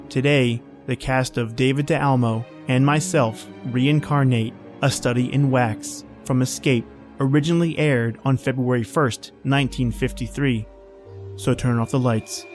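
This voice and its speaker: A man's voice: mid-range voice, a little mellow. A man hosting a podcast, reading a scripted introduction to an old-time horror radio tale. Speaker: podcast host.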